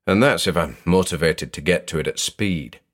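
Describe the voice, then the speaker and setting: A man narrating a first-person horror story in a measured, dramatic way. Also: low-pitched.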